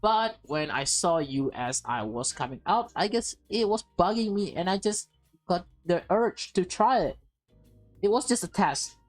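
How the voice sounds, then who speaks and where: A woman's voice: lowish voice, expressive. Woman voicing nervous, apologetic young man. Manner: halting, apologetic, earnest explaining. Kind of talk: visual novel read aloud in character. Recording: game music behind the voice.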